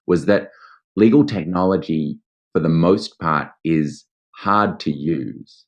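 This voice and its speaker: Very deep-voiced Australian man. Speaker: interview guest, legal tech founder. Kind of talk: explanatory interview talk.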